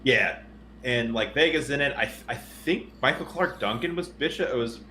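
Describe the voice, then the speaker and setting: A man chatting casually about movies on a podcast, blunt and quick to swear. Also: mid-range voice, bright, forceful tone, a little hiss or hum. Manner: blunt, profane. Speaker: podcast panelist.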